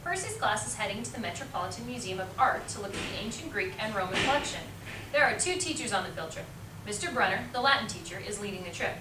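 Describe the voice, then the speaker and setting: A woman's voice: mid-range voice, lively intonation, fast talker. A woman reading scripted story narration for amateur reader's theater. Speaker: teacher, narrator. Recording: echoey room, distant mic, clear noise.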